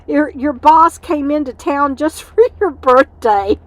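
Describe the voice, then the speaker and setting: Middle-aged woman, tired livestream host, rambly and warm-hearted, fairly high voice. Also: slow, no echo. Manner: rambling, chatty, talks to her dog mid-stream. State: tired from lack of sleep, voice fading. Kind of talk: casual livestream chat. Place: inside an RV.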